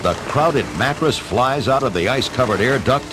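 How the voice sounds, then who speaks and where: A man narrating an audio drama from a script, with expressive, dramatic storytelling delivery. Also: mid-range voice, normal speed, over music.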